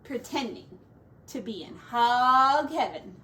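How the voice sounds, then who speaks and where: A high-pitched woman reads a picture book aloud for young children, taking her time over the words. She draws out descriptions dramatically and drops in playful asides. The microphone sounds a little distant. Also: expressive, takes it slowly, bright, forceful tone. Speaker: teacher reading a storybook to children.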